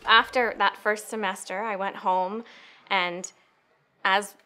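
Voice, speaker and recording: A young American woman tells personal stories reflectively, with thoughtful, measured phrasing. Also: medium pitch, fairly even intonation, bright, forceful tone. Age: young adult. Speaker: poetry reader telling personal stories.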